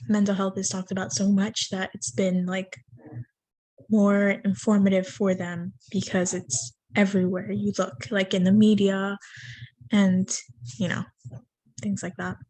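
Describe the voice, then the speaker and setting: A woman in her twenties from Miami, whose first language is Spanish, plays a counseling client. She speaks slowly and haltingly, with many fillers, and her pitch stays flat with little rise and fall. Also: rough recording. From Miami, USA. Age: 20s. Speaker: client in a practice session. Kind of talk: mock counseling intake conversation.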